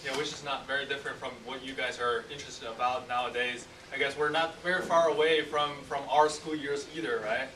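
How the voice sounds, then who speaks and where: A young man, an engineer speaking hesitantly to students with frequent pauses. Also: medium pitch, fairly even intonation, sharp tone. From China. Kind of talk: casual classroom talk. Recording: roomy distant mic with faint noise.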